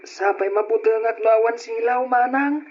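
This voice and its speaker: A woman's voice: on the high side, normal speed, a little mellow, lightly acted. A woman with an Ilocano accent voicing an obedient, timid little brother in a radio drama. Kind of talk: Ilocano old-time radio drama acting. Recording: dull, noisy lo-fi old radio recording.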